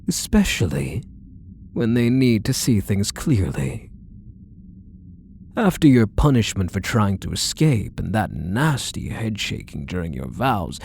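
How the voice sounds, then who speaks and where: Man voicing a possessive stalker husband, ASMR roleplay, soft and coaxing, sweetly menacing, mellow gravelly tone, unhurried, condescending endearments. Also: on the low side, a little hiss or hum, exaggerated voice. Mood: patronising, controlling. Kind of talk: intimate ASMR roleplay audio drama.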